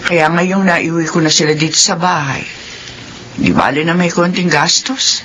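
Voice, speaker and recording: A woman's voice: fairly low pitch, normal speed, a bit bright, close to an everyday voice. An actress playing a devoted middle-aged widowed mother in a classic radio drama. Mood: earnest. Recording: noisy old radio drama recording.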